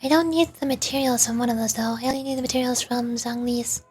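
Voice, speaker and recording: A woman's voice: fairly high pitch, fast talker, darkish tone, faint music behind. A woman livestreaming a video game, commenting casually and thinking aloud as she plays. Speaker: streamer, VTuber.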